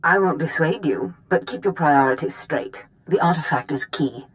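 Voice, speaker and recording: A woman playing a scheming Sith mistress in a video game, speaking with silky, measured formality. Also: low voice, close to an everyday voice, low-quality recording. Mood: instructive. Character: scheming Sith lord mistress, gracious and coaxing. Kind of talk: scripted video game dialogue.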